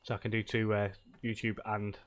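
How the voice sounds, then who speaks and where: Male game streamer, a bit low, croaky with a cold, chatty and hesitant. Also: completely flat delivery, fairly quick. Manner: rambling, loose, many hesitations. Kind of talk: casual live-stream chat while gaming.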